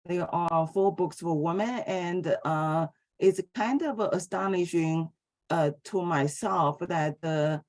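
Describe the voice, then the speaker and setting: Halting woman lecturing. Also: fairly low pitch, a little mellow. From Taiwan. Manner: hesitant, many filler sounds and restarts between phrases. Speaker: philosophy professor giving a lecture. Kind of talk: academic lecture.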